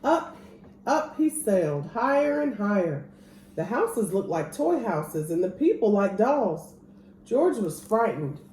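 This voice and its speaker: A woman reading a children's picture book aloud as an expressive storyteller. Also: mid-range voice, takes it slowly. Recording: roomy echo, clear background noise.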